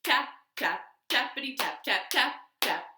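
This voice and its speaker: A woman telling a children's story in an animated, expressive way with dramatic pauses, as a library storyteller. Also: high voice, noisy background. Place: living room. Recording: roomy, echoey home recording.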